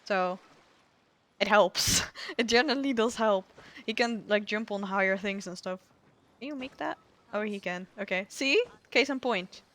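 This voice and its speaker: A woman's voice: mid-range voice, a little slow, fairly bright tone. A woman streaming a game playthrough, chatting casually in a rambling, self-deprecating, giggly way. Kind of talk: casual playthrough chat and reading dialogue aloud. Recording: music behind the voice. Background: game music.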